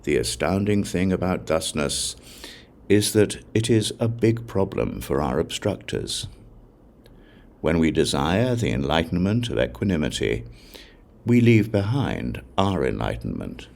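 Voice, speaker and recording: Very deep, gravelly, slow-reading man. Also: sing-song, darkish tone. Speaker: audiobook narrator. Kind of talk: scripted narration of sacred Buddhist texts.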